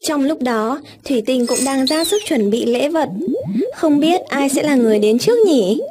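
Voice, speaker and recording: A woman's voice: high voice, animated, moderate pace, background noise. A woman storyteller reading scripted narration of a tale for children. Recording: loud music under the voice. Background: music.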